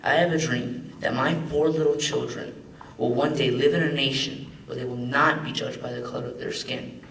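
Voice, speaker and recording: A man's voice: medium pitch, fairly even intonation, normal speed, vocal fry, fairly bright tone, a little hiss or hum. Young man reciting in a very echoey hall. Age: young adult. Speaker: student reader. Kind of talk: dramatic reading of a famous speech. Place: large echoey room at a public event. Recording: echoey hall, far from the mic.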